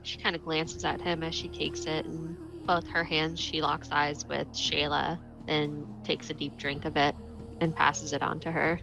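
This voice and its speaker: A woman with a low, gravelly fry describes her tabletop character in a casual storytelling way. Faint music plays under her voice. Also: flat delivery, unhurried, poor audio. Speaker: tabletop game player.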